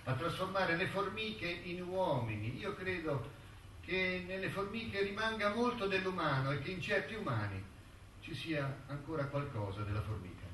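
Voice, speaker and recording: An actor playing a witty, defiant intellectual on trial, speaking with dry, measured irony in theatrical courtroom dialogue. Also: male voice, sits high, lively intonation, a bit bright. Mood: dry, ironic. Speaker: stage actor. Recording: roomy stage sound, distant mic, faint noise.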